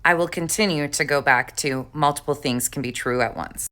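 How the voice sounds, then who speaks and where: A woman's voice: deep voice, moderate pace, pushed and bright. A woman commentating on played clips, reacting to what the speakers in them say.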